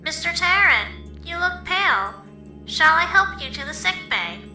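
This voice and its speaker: A small polite robot, talks slowly in a high, piercingly bright voice. It sounds echoey, like a video game helper. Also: female voice, sing-song, full character voice. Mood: polite concern. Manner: slow, polite, repetitive. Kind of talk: fan dub of a visual-novel video game. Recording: echoey with music behind.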